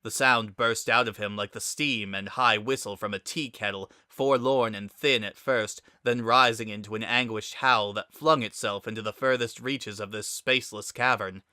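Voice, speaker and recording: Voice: medium pitch, fairly even intonation, normal speed, hardly any pauses, bright, forceful tone, no room sound, clean studio sound. A narrator giving a dramatic scripted reading of a story.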